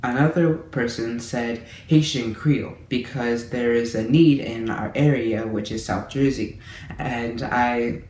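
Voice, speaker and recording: A man's voice: mid-range voice, darkish tone. Chatty young man in a roomy space. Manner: friendly, chatty, with small restarts. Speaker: language-learning vlogger. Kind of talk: casual monthly update to camera. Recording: roomy echo with faint room noise.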